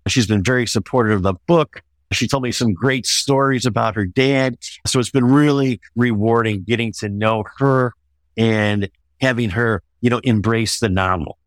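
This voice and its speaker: A man's voice: medium pitch, normal speed, no echo. A man talking as a guest author in an interview, discussing his own work conversationally.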